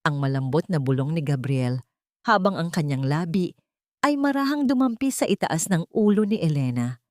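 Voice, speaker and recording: Female storyteller, Filipino accent, lower register, solemn suspenseful audio drama narration, close dry sound. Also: lively intonation, moderate pace, darkish tone, no echo.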